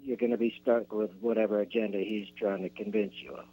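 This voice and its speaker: A man's voice: on the low side, level tone, a little fast, dark tone, poor audio. A man calling in to a radio show, pressing the host with skeptical questions. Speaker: caller.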